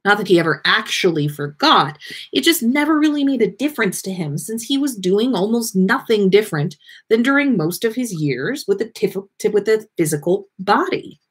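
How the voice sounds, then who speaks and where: A woman reads fiction aloud in a lively, widely swooping voice with a husky edge. She leans into key words theatrically and now and then trips over a phrase. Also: mid-range voice, moderate pace. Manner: animated, theatrical emphasis with occasional stumbles. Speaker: podcast host reading listener fan fiction aloud. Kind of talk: expressive story reading on a livestream.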